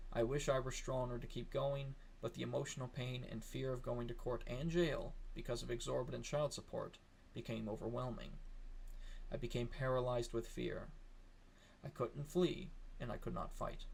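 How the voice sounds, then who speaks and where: A man narrating a written letter aloud in a solemn, measured, deliberate way. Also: medium pitch, vocal fry, a little hiss or hum. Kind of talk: solemn scripted reading.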